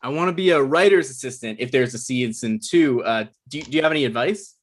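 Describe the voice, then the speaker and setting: An actor playing an awkward, eager, put-upon young job seeker with a halting, flustered way of talking in a comedy table read. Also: male voice, medium pitch, close to an everyday voice. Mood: eager, hesitant.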